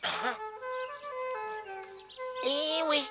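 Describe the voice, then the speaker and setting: An actor voicing a breezy, bossy but kindly male river rat in an animated film dub, chummy and exclamatory. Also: sits high, extremely slow, exaggerated voice. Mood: enthusiastic. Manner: exclamatory, chummy, self-assured. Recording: loud music behind the voice.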